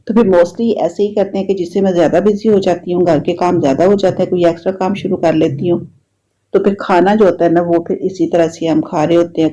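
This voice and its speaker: A woman with a Pakistani Urdu accent talks in an easygoing, rambling way, circling back to the same points as she narrates her home vlog. Her voice is mellow and warm, with a slight roughness from a sore throat. The recording sounds dull and lo-fi. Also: fairly low pitch. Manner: chatty, repetitive, relaxed storytelling. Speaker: vlogger. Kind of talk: casual voice-over narration.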